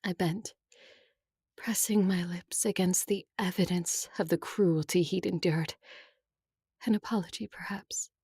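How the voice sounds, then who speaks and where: A woman acting a composed, tender and teasing vampire in an audio drama, with an intimate delivery and a husky voice. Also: on the low side, fairly even intonation, unhurried, dark tone, no echo, clean studio sound. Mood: intimate, soft. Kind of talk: first-person audio drama acting and narration.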